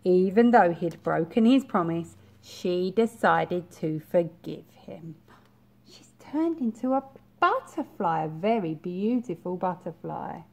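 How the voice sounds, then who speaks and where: A young woman reading a picture book to small children, expressive and deliberate, with pauses. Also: lowish voice. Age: young adult. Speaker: reception class teacher. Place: small bright school classroom, indoors.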